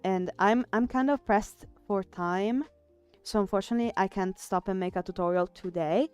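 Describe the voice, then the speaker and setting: A woman's voice: mid-range voice, moderate pace, darkish tone, clear, resonant tone, soft background music. A woman with an Italian accent, chatting casually on a live art stream, reading chat aloud and trailing off with fillers. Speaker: artist streamer.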